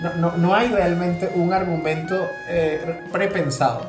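A man's voice: on the high side, fairly even intonation, measured pace. A Venezuelan man co-hosting a casual podcast, explaining things in a hesitant way and often repeating words as he goes. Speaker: podcast co-host. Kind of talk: casual conversational commentary. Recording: roomy echo, music behind.

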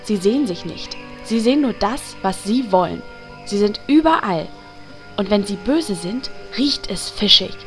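A woman's voice: medium pitch, expressive, a little slow, faint music behind, close to an everyday voice. A woman playing a miserable, snippy undead character in a parody audio drama, dramatic and biting. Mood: haunted.

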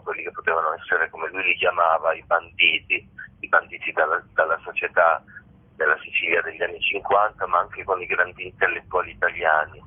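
Man, very low pitch, interviewed actor, halting delivery, narrow muffled phone sound. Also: breathy edge. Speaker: stage actor interviewed about a show. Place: on a phone call to a radio station. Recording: muffled narrow telephone line.

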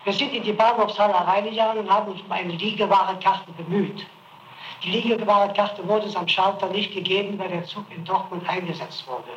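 A man's voice: high voice, fairly quick, fairly bright tone, muffled recording. A man being questioned as a suspect, insistent and defensive, stammering as he repeats his account. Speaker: suspect under interrogation. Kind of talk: archival interrogation recording. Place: prison interrogation room. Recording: roomy old tape recording with faint hiss.

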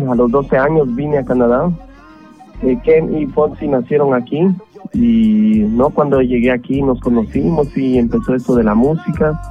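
A man from Guatemala speaks over a tinny, narrow phone line, his voice at an ordinary middle pitch. He talks in a relaxed, conversational way, full of fillers and little tags. Faint music sits underneath. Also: normal speed. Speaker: musician guest. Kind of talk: radio interview.

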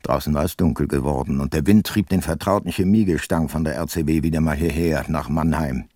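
An actor playing a wry, unhurried middle-aged private detective in a radio drama, with a dry, laconic, ironic manner. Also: male voice, a bass voice, warm tone, no room sound. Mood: wry, reflective. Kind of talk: radio drama narration and dialogue.

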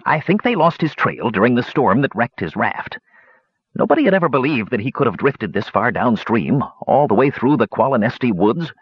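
A man's voice: mid-range voice, very animated, normal speed, breathy edge, no room sound, dull audio. A man doing a swooping, grumpy old dwarf hermit. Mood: rambling, folksy. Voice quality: coarse, growling. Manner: folksy, rustic, ungrammatical speech. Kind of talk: fantasy audiobook character voice.

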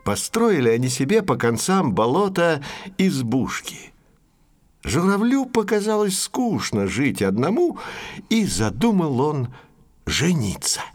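A male storyteller narrates a children's fairy tale at an unhurried pace. His voice sits a little high and moves widely and expressively in pitch. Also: sing-song, soft background music.

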